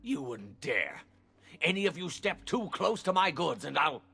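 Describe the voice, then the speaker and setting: Gravelly, blustering greedy merchant. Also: male voice, a little high, sing-song, unhurried, pushed and bright, exaggerated voice. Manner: blustering, haggling. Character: indignant, greedy, profiteering male merchant. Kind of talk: video game voice acting.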